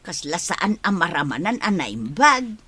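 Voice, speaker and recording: A woman's voice: fairly low pitch, sing-song, measured pace, bright, forceful tone, heavily acted. A woman playing a shameless, fault-finding neighbour who mooches meals in an Ilocano comedy radio drama, speaking with an Ilocano accent in a brash, exclamatory way.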